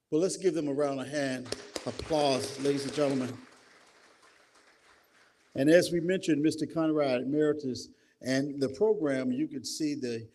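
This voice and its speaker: A man serving as emcee at a community reception, announcing guests and calling names slowly. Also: mid-range voice, darkish tone. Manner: announcing names, slow and deliberate. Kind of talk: emceeing a public program.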